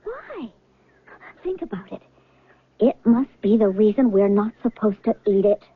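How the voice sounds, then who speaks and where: A woman's voice: mid-range voice, big pitch swings, measured pace. An actress playing a carefree, coaxing woman in 1970s radio drama, with a playful, nonchalant manner. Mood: persuasive. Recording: thin phone-like old radio recording.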